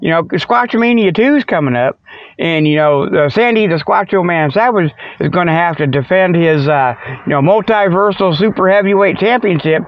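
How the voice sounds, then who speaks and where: A man's voice: high-pitched, moderate pace, no echo. An American man hosting a comic show in a rambling casual monologue, folksy and meandering, with joking asides. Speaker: host of a comic Sasquatch show. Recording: dull, lo-fi radio-like sound, close and dry.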